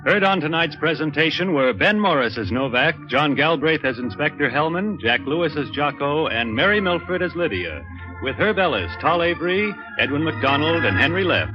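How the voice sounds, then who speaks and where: A man's voice: a little high, expressive, normal speed. A man reading scripted credits as a 1940s network radio announcer. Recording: dull 1940s radio recording, loud organ. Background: loud organ music.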